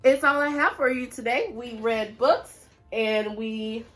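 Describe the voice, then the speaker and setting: High-voiced, unhurried woman hosting children's storytime. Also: faint music behind. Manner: playful, addresses children directly. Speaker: preschool storytime host, children's librarian.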